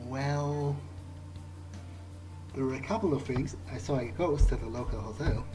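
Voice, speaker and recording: A man's voice: mid-range voice, close to an everyday voice, rough recording. Man roleplaying hesitant young vampire fledgling. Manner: hesitant, polite, halting. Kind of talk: in-character improvised game roleplay. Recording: game music behind the voice.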